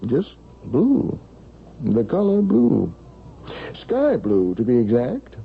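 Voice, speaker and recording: Worried male doctor, radio drama, halting, emphatic, lively pitch, muffled hissy recording. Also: gravelly, slightly rough, exaggerated voice. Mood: concerned, pondering. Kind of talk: 1970s radio drama acting.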